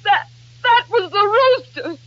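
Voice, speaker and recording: A grand, overwrought amateur actress, declaiming every line as if wounded. The voice is high and sharp. It sits in a dull old recording with clear noise. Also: female voice, slow, full character voice. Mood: hurt, tearful. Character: melodramatic, easily wounded amateur actress playing a grand lady.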